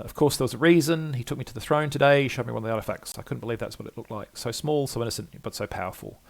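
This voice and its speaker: Man voicing an anxious, gravelly, muttering scholar. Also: mid-range voice, close to an everyday voice. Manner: rambling, self-repeating, fretful. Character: anxious, muttering male scholar doubting his own sanity. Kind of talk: dramatic reading of game journal entries.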